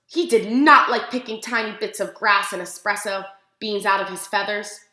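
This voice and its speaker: Casting: woman preschool teacher, bright high voice, expressive picture-book read-aloud with questions to little listeners, recorded in an echoey room. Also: normal speed. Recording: roomy, echoing room sound.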